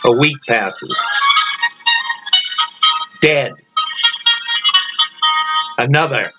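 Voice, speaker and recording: A man narrating a scripted gothic horror story as its author, in a dramatic, deliberate manner with drawn-out words. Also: medium pitch. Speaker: author reading own story. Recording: dull lo-fi audio under loud music. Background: loud music.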